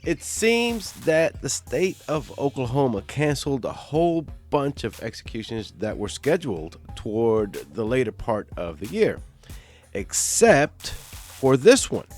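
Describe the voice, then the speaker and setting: A man hosting a true crime channel, giving a stern scripted narration while hoarse from a cold. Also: medium pitch, big pitch swings, measured pace, darkish tone, soft background music. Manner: stern, emphatic delivery.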